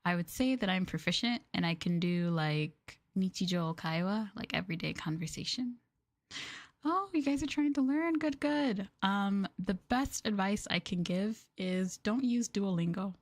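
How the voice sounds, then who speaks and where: An American woman streamer chatting casually and softly to her viewers in an expressive, ASMR-style live chat. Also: medium pitch, a little slow. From United States.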